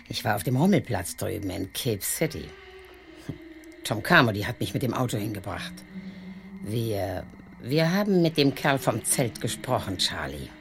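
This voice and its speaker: A taunting, sly wife, swooping intonation. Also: female voice, extremely low pitch, heavily acted. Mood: coaxing, teasing. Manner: teasing, drawn-out, cruelly coaxing. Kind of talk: German radio drama dialogue.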